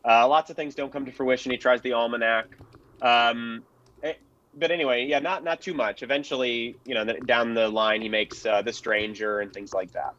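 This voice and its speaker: A man's voice: mid-range voice, steady tone, normal speed, a bit bright. A man speaking as a panel historian, explaining things casually and informally. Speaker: panelist, film and radio historian. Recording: dry, slightly dull lo-fi mic.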